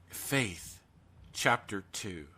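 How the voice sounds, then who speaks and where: A man's voice: fairly low pitch, animated, very slow, pushed and bright, breathy edge. A man co-hosting a podcast, chatting casually through horror news in a theatrical, jokey and emphatic way. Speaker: podcast co-host.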